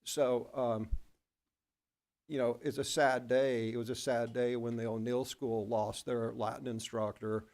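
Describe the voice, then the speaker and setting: Gravelly man rambling at a board meeting. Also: medium pitch, little pitch movement, a little mellow. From Oklahoma. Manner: rambling, self-deprecating, halting restarts. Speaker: school board member.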